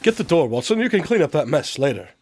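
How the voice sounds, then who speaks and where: A man's voice: on the high side, very animated, fast talker, darkish tone, a little hiss or hum. A man playing a fussy, smug, clever detective in a comic radio drama, speaking in a pompous, precise manner.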